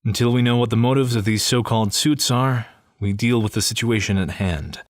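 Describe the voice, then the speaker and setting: A man acting as a gruff, guarded police detective burdened by grief in an audio crime drama. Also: medium pitch, fairly quick, no room sound, studio quality, close to an everyday voice. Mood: businesslike.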